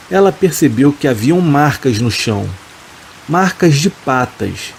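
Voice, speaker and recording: A man reading a first-person horror story aloud in a slow, calm, measured way, as a storytelling narrator. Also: mid-range voice, slight background noise. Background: rain sounds.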